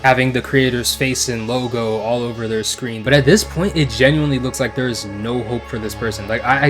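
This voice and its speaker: A man giving casual, speculative horror game commentary in a rambling, earnest, emphatic way. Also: mid-range voice. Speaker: video essayist. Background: guitar music.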